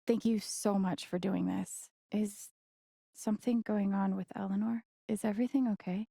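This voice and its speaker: A woman voicing an earnest, gentle young game heroine with a wry playful side, in a casual, conversational way. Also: mid-range voice, steady tone, moderate pace, gravelly, no room sound, studio quality. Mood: soft, concerned. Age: young adult. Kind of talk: naturalistic video game voice acting.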